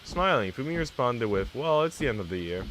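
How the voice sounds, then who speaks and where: A man's voice: mid-range voice, big pitch swings, fairly quick, fairly bright tone, a little hiss or hum, rough recording. A man live-streaming, reading a visual novel aloud and chatting casually with viewers in a slangy, teasing way.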